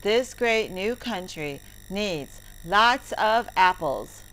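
A woman narrating a story lesson for English learners, reading deliberately word by word. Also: medium pitch, animated, a bit bright, faint music behind, rough recording. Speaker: teacher narrator. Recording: heavy background noise, clicks.

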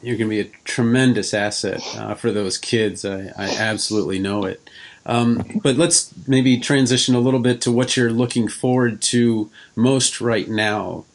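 A man's voice: mid-range voice, fairly even intonation, moderate pace. A man hosting a podcast interview in a conversational style, asking questions and responding to his guest.

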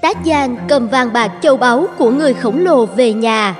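A woman's voice: on the high side, normal speed. A woman narrating a scripted children's fairy tale. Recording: long reverb, faint music behind.

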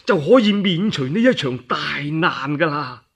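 A Cantonese-speaking man voicing a shrewd adviser counselling his lord in a radio story, deliberate and respectful. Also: a little high, animated, gravelly, fairly bright tone, slightly rough, full character voice. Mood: earnest counsel.

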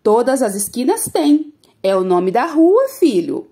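A woman voicing a calm, patient mother who explains things to her son in a storybook. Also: on the high side, unhurried.

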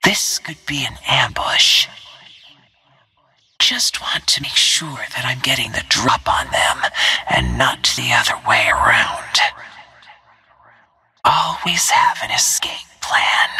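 An actress voicing a cold, self-assured assassin soldier in a video game, with a breathy, clipped, deliberate delivery. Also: female voice, rumbling low, sharp tone, full character voice. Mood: cold, focused. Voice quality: breathy, whispery. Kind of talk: scripted video game voice lines.

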